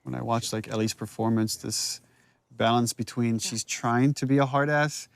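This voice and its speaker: A man giving casual commentary as a creative lead, explaining scenes in halting, feeling-it-out phrases. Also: medium pitch, fairly even intonation, creaky.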